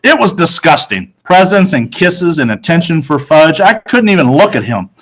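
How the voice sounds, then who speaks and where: A man's voice: fairly high pitch, big pitch swings, moderate pace, a bit bright. A man reading a first-person children's story aloud as its narrator. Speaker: storybook reader.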